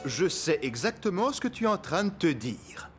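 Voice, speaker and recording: A man's voice: sits high, sing-song, moderate pace, music in the background. An actor playing a genial cartoon doorman who chats affectionately to his dog. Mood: fond, self-assured.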